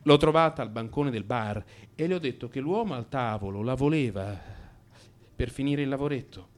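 A man's voice: mid-range voice, lively intonation, normal speed. A man narrating a theatrical first-person radio drama monologue, dramatic and changeable in his delivery.

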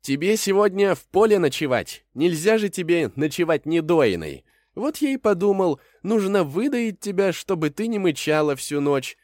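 A little trickster rabbit from a storybook, pitched high and very lively. He is sweetly polite and wheedling, always sounding slyly pleased with himself. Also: moderate pace, few pauses, no room sound, clean studio sound. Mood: mock-sweet. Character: small cunning chirpy male rabbit, sweetly polite trickster. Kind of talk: animated fairy-tale character voice.

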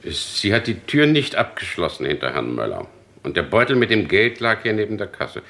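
Insistent, skeptical detective for radio crime drama; lowish voice, very varied intonation. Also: male voice, sing-song, normal speed, creaky, fairly bright tone, full character voice. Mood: commanding, methodical. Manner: questioning, methodical, polite but insistent. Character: probing, skeptical male police detective leading an investigation. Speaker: actor in a crime radio play.

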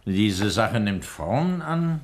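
60s radio krimi, a worried older doctor, lowish voice, formal, bit hesitant. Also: male voice, sing-song, takes it slowly, full character voice. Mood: thoughtful. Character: anxious, deliberate older male nerve doctor, a father. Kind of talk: 1960s German radio crime drama acting.

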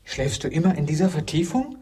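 A man's voice: a little high, animated, a little fast, recorded from a distance, loud music behind the voice, natural voice. An actor playing a troubled, weary wanderer who faces his younger self, brooding and uneasy in a German radio drama manner. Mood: uneasy questioning.

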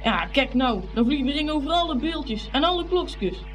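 A plucky, easily offended young boy mutters and exclaims his way round a fair. It is radio play acting, with music clearly behind the voice. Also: high-pitched, expressive, moderate pace, poor audio. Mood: cheeky, eager.

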